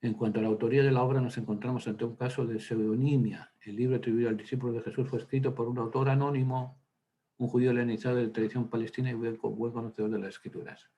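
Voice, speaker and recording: A man's voice: mid-range voice, little pitch movement, fast talker, warm tone. A man, a priest, teaching a Bible workshop in a chatty, digressive way, with many hesitant fillers and side stories. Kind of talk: informal Bible lecture.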